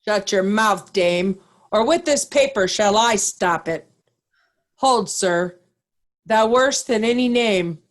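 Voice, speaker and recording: A woman playing a righteous, commanding nobleman in a Shakespearean stage reading, speaking in a deliberate, declamatory way. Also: medium pitch, lightly acted. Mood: stern, outraged. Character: righteous, commanding nobleman confronting a wicked wife. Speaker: amateur actor in a reader's theatre.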